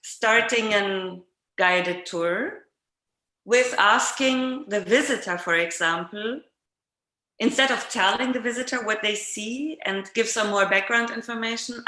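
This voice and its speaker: A woman interviewer explaining reflectively in conversation, hesitant and often pausing mid-sentence, recorded with roomy echo. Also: mid-range voice, sharp tone. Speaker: interviewer in an art dialogue. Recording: roomy, echoing sound.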